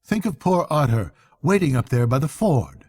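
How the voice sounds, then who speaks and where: A gentle little mole from a bedtime storybook, voiced by a man. He is earnest and slightly hesitant. The tone is mellow and relaxed, in a dry, close recording. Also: on the high side, moderate pace, breathy edge, no echo, pitched above the performer's own voice. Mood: urging.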